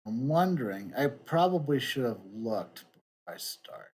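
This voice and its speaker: A middle-aged man giving casual gameplay commentary, thinking out loud as he streams. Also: mid-range voice, very animated, a little slow, husky. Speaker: game streamer. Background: game music.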